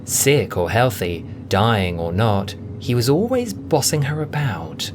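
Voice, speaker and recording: A man narrating a scripted audio drama as a theatrical storyteller, with deliberate emphasis. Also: mid-range voice, sing-song, background noise. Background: music.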